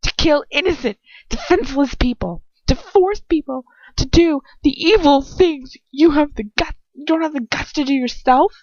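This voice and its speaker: A woman's voice: sits high, normal speed, few pauses, a little mellow, no echo, lo-fi sound, pitched above the performer's own voice, natural voice. A woman reading the lines of a defiant young witch who argues back in an accusing tone.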